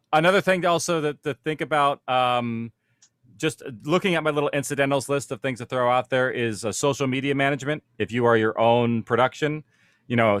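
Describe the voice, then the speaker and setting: A man's voice: medium pitch, a bit bright, no echo, clean studio sound. A man speaking as a producer on a panel, explaining practical matters informally and conversationally, thinking aloud as he goes.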